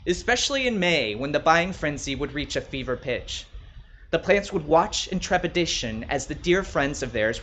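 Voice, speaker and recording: A man with a fairly high voice narrates a short story as its author. The delivery is scripted storytelling, with faint ambient noise in the recording. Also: fairly bright tone. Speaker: author reading his own short story.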